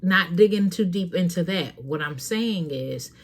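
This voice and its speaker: A woman's voice: on the low side, lively intonation, measured pace. A woman hosting a talk show, giving earnest, frank parenting advice straight to her listeners in a casual, preachy way. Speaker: host.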